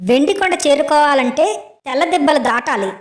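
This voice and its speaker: A woman's voice: sits high, brisk, echoey room, close to an everyday voice. A woman voicing a brave, clever, kind young female penguin leader in a children's cartoon, with a reassuring, decisive way of talking. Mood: confident, planning. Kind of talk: children's animated story acting.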